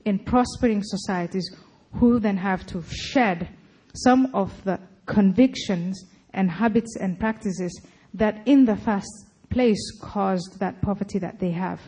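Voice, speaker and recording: Husky lady giving a talk, pushy and firm about it. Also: mid-range voice, a little slow, few pauses, rough recording. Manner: forceful, argumentative. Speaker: speaker at a public talk. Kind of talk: lecture and debate answering.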